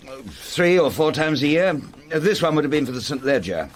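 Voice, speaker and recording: An actor playing a forthright, self-assured middle-aged English knight with an upper-class accent in a radio drama. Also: male voice, fairly high pitch, very animated, brisk, a little hiss or hum. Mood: puzzled.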